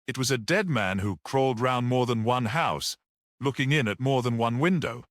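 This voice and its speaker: A man voicing a small, composed priest detective in an audiobook story, speaking in a measured, reflective manner. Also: medium pitch, fairly bright tone, no echo, studio quality, natural voice. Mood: composed, grave. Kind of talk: classic detective audiobook character reading.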